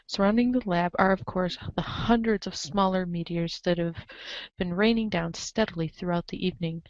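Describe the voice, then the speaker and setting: A woman's voice: on the low side, normal speed, dry recording. A woman reading scripted narration aloud as the narrator of a group reading. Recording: dull lo-fi audio.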